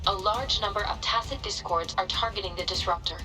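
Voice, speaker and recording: Casting: actress as a calm, precise game researcher, mid-pitched, formal, heard at a distance with clear music behind. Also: female voice, brisk, close to an everyday voice. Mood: calm, focused. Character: composed, diligent female researcher reporting in. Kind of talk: scripted video game cutscene acting.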